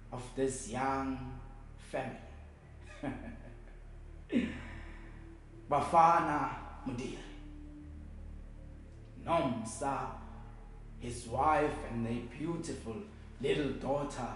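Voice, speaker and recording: An actor with a South African accent playing a grieving, bitter man who delivers a halting, emotive funeral eulogy as a theatrical monologue. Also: on the high side, animated, slight background noise. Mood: solemn, grieving. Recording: very echoey room, music behind.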